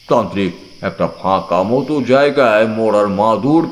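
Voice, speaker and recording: A man's voice: medium pitch, a little mellow. A man giving a dramatic first-person narration of an audiobook story. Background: background music.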